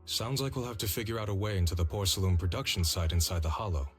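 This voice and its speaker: An actor playing a steady, shrewd senior male disciple in a video game, with a low, husky voice and measured, reassuring manner. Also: soft background music, natural voice. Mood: thoughtful.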